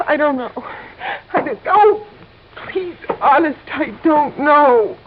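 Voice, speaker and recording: A woman's voice: high voice, animated, slow. An American actress playing a brash, edgy gangster's girlfriend in a 1950s radio crime drama, slangy, impatient and nervy. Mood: frightened pleading. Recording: noisy, muffled old radio recording.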